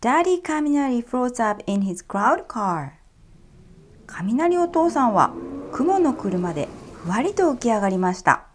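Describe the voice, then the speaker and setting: A woman reading a picture book aloud to children, gentle and clear in her storytelling. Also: on the high side, sing-song, slow pace. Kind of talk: bilingual storybook read-aloud. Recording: faint music behind.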